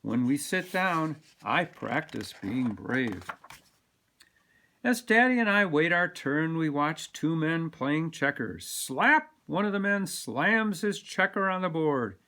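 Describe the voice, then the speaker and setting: A man reads a picture book aloud to children. His voice sits a little high and swings widely in pitch, with dramatic emphasis on key words. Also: slow pace. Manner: animated, dramatic delivery with sound-word emphasis. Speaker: storybook reader.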